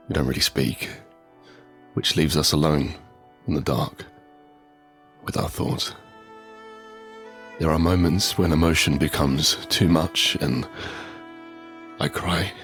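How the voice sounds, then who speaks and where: An actor playing an anguished, guilt-ridden survivor in a post-apocalyptic audio drama, brooding and halting, in a hushed confessional manner. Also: male voice, a bass voice, fairly even intonation, soft background music, full character voice. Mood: subdued, haunted. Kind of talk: dramatic first-person audio drama narration.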